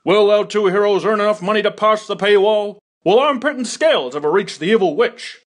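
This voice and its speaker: Man playing a storybook narrator, high-pitched, theatrical, wry, slightly husky, cartoon quest tale. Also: fairly quick. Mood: dramatic. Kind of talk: dramatic scripted cartoon narration.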